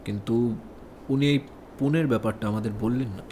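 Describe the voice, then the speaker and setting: A male actor voices an eager junior detective in a radio crime drama. He has a medium-pitched voice and speaks respectfully and observantly to his boss. Noticeable noise runs behind him. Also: brisk, dark tone, natural voice. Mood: doubtful. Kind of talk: Bengali audio detective drama acting.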